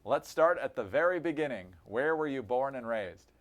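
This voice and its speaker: A man hosting an interview show, reading a scripted introduction and asking polite, measured questions. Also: mid-range voice, lively intonation.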